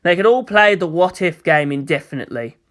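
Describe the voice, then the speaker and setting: A woman narrator with a fairly low voice and lively, wide-ranging intonation. She reads scripted fiction dramatically, in the manner of an audiobook. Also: brisk.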